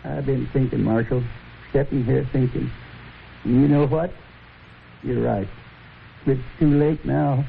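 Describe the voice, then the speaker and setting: An actor playing a proud, ornery old man in a radio western, with a creaky voice and halting, folksy speech. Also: mid-range voice, fairly even intonation. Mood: subdued, reflective. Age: older. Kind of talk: 1950s radio western acting. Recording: tinny, noisy 1950s radio recording.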